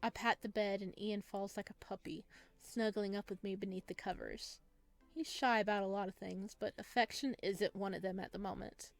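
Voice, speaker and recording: Woman doing a visual novel lets play, medium pitch, bit of music in the back. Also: fairly even intonation, normal speed, gravelly. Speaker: game playthrough host. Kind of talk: first-person story narration and casual commentary on a visual novel. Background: game music.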